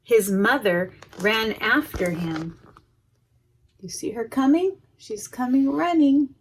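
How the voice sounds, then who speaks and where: A woman reading a picture book aloud to young children, expressively, pausing to comment on the pictures. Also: fairly high pitch, lively intonation, slight background noise. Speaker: preschool educator reading a storybook. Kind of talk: read-aloud storytime with asides to young children.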